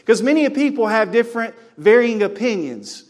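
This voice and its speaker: Joking preacher with a high voice. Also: male voice, very animated, normal speed. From North Carolina. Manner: joking, folksy, builds to loud emphatic storytelling. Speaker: guest preacher. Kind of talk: sermon. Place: church sanctuary.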